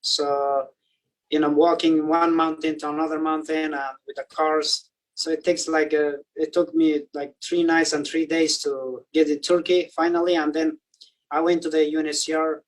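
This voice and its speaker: A man's voice: fairly high pitch, flat delivery, normal speed, recorded from a distance, rough recording. A young man from Afghanistan telling his story in a loose, casual way, full of "you know" fillers. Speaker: athlete guest. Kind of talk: casual interview answers.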